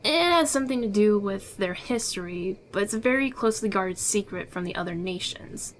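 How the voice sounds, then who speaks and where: A woman's voice: medium pitch, animated, brisk, slight background noise, lightly acted. A woman playing a confident, secretive smuggler in a fantasy ASMR roleplay, with an assured, persuasive, theatrical delivery. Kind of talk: ASMR audio roleplay acting.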